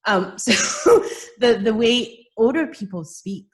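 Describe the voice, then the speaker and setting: A woman's voice: on the high side, lively intonation, slow pace. A middle-aged woman from Hong Kong with a slight British accent speaks as a panelist on a video call. She reflects with rhetorical questions and restarts her sentences. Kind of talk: webinar panel discussion.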